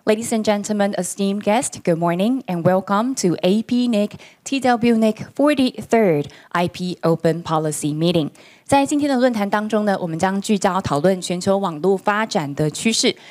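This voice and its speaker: A woman emceeing a conference, switching between two languages and announcing the program in a formal, measured way. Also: medium pitch, a little mellow. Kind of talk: bilingual scripted announcing. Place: conference hall.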